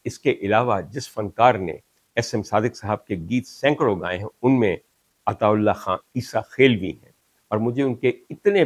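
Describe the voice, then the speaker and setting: Older Pakistani male host, dry close sound, declaims poetry with slow emphasis. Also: on the low side, dry recording. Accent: Pakistani Urdu. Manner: declaiming Punjabi verses slowly and emphatically. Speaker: talk show host. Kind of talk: reflective monologue.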